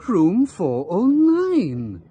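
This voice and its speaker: A man's voice: high-pitched, wildly swooping pitch, very slow, mellow, husky, dry recording, full character voice. An actor playing a dutiful, deferential male hotel clerk in a radio drama, speaking in a matter-of-fact way. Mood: grave, matter-of-fact. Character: obliging, sober hotel clerk, dutiful and helpful.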